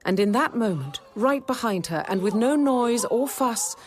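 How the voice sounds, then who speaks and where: An actress playing a wry, sceptical nun who narrates a radio drama in a measured, intimate first-person manner. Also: female voice, a little high, lively intonation, faint music behind, natural voice. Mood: tense, hushed storytelling. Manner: measured, intimate, quietly dry.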